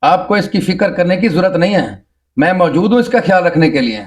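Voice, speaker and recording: A man playing an indulgent father in a scripted family drama, speaking earnestly and deliberately. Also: high voice, animated. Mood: firm, protective. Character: indulgent father of a young boy, respectful but firm son.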